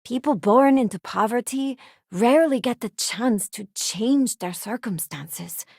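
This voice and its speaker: A principled female companion aboard a starship in a video game. Her voice sits fairly high and is recorded very dry. She talks in a sincere, conversational way, with an idealist's quiet conviction. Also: lively intonation, a little slow, creaky, dry recording, full character voice. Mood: earnest, disillusioned. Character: earnest, principled female space crew companion, idealistic ex-security officer. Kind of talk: acted game companion dialogue lines.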